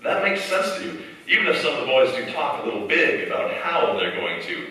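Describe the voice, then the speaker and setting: Dramatic radio narrator, a needling inner conscience, played by a man: bright, forceful tone, big echoing hall. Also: fairly high pitch, very animated, fairly quick. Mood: probing, dramatic. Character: probing second-person narrator, an inner conscience. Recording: echoey hall, far mic, faint noise.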